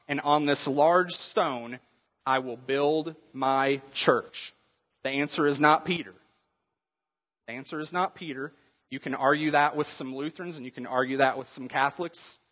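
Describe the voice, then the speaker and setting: Male pastor, slightly higher voice, a little husky, chatty teaching sermon, long hall reverb. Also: a bit bright. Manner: conversational teaching, quizzing the congregation with rhetorical questions.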